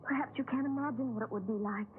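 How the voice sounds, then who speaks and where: A high-pitched, anxious woman played by an actress comes through a tinny vintage radio drama with light noise. She is hesitant and polite, always on edge. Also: fast talker, natural voice. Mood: nervous. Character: frightened, nervous woman trapped with a stranger. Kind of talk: old-time radio thriller acting. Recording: tinny, noisy old radio broadcast.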